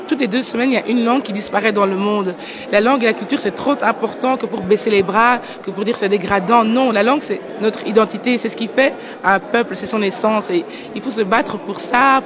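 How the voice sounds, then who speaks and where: A woman's voice: fairly high pitch, background noise. A young woman from Belgium, co-founder of a language school, answering interview questions in an earnest, articulate way. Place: indoor book fair hall. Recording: busy crowd chatter behind.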